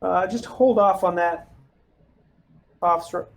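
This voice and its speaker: A man's voice: sits high, slow, creaky. A man talking informally and hesitantly as a player in a tabletop roleplaying game, thinking aloud in character. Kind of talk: casual in-character table talk.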